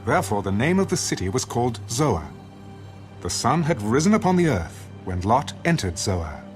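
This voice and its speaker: Male scripture narrator: solemn, measured storyteller, mid-range with lively dramatic inflection, orchestrated audiobook feel. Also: medium pitch, soft background music.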